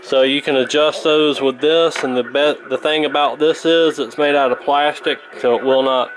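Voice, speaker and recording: A man's voice: medium pitch, poor audio. A man giving a casual, unscripted repair demonstration in a folksy, rambling, hesitant way. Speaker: host of a vintage radio repair channel.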